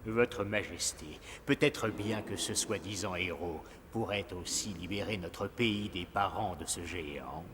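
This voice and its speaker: An actor playing a sly, scheming villain knight in a fairy tale cartoon, smug and insinuating. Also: male voice, sits low, measured pace, hardly any pauses, fairly bright tone, a little hiss or hum. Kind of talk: French-dubbed fairy tale cartoon acting. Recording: reverberant hall with faint music.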